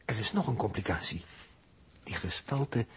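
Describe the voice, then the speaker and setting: Husky, doubtful low-voiced man. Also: expressive, moderate pace. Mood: doubtful, concerned. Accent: Dutch. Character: doubtful, puzzled male fellow observer. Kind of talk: 1960s radio drama dialogue. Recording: rough 1960s radio recording.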